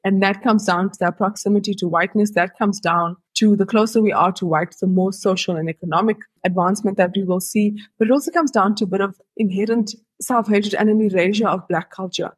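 A woman with a husky, breathy voice, talking through ideas in long, reflective runs before putting a question to her guest. The recording is dry and close, with no room sound. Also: mid-range voice, fairly even intonation, fairly quick, hardly any pauses, no room sound. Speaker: podcast interviewer. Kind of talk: conversational interview.

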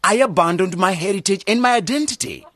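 A man's voice: high-pitched, animated, quick pace, fairly bright tone, dry recording, clean studio sound. An actor playing an earnest, idealistic young man returning to his village in a radio drama, speaking with emphasis. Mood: resentful, impassioned. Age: young adult.

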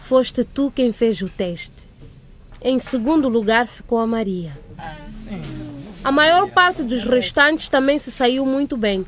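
An actress playing a strict school headmistress who addresses a class with brisk authority in a radio drama. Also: female voice, on the high side.

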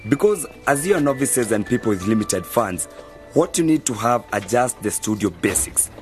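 An actor playing a young university student who patiently explains things in a measured way in an educational radio drama. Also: male voice, mid-range voice, big pitch swings, faint music behind, close to an everyday voice. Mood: patient, instructive. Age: young adult. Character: calm, knowledgeable male university student mentor. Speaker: university journalism student mentoring novices.

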